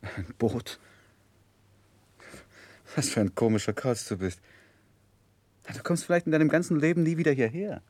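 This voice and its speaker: A man's voice: medium pitch, lively intonation, normal speed, long pauses, a little mellow, no room sound, close to an everyday voice. An actor playing an earnest, admiring young man, a devoted friend, in a 1960s German radio drama. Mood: amused, curious.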